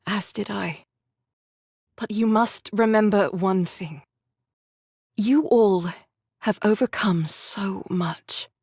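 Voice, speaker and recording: An actress voicing a gentle, sorrowful young woman soldier in a video game, speaking tenderly and earnestly. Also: medium pitch, takes it slowly, breathy edge, dry recording, clean studio sound. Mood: solemn, tender. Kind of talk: dramatic game voice acting.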